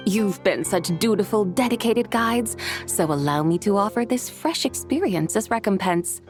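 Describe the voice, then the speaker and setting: A woman playing a courteous, wise and ancient mystical lady in a video game, speaking with measured formality. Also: mid-range voice, expressive, faint music behind, lightly acted. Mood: serene, whimsical. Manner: formal, measured, archaic politeness. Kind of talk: video game character acting.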